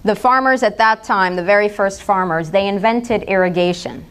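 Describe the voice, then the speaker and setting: A woman's voice: medium pitch, normal speed, a bit bright, clear, resonant tone. A middle-aged woman from the United States giving a public lecture in an impassioned, direct way. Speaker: speaker.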